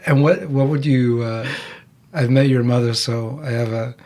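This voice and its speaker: A man's voice: medium pitch, fairly even intonation, normal speed, darkish tone. A man hosting a podcast, asking curious, probing questions and often restarting mid-sentence. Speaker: podcast host. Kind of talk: conversational interview.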